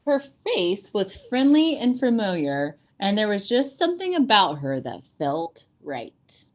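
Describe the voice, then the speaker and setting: A woman reading a storybook aloud to children as a teacher, with an expressive, deliberate storytelling delivery. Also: medium pitch.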